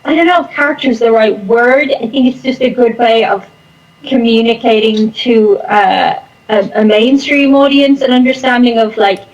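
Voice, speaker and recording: A woman's voice: a little high, normal speed, lo-fi sound. A woman chatting casually and unscripted as an interview guest, rambling along with self-deprecating humour. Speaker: interview guest, performer and online content creator.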